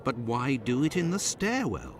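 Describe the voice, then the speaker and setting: A sharp detective. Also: male voice, medium pitch, huge pitch swings, moderate pace, noisy background. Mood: inquisitive. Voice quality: crisp, probing questions. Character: sharp, clipped adult male detective. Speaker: game character. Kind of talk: video game voice acting.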